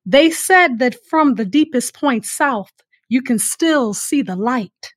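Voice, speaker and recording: A woman hosting a podcast, speaking in an effusive, gracious and deliberate way. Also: high-pitched, lively intonation, no room sound. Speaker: podcast host. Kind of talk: warm interview hosting with sign-offs.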